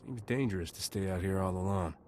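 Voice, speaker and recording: A cocky male smuggler from a video game, voiced by an actor. He speaks fairly low, tossing lines off with casual flippancy. There is slight background noise. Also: brisk, a little mellow, natural voice. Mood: flippant. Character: cocky, wisecracking male smuggler gunslinger captain.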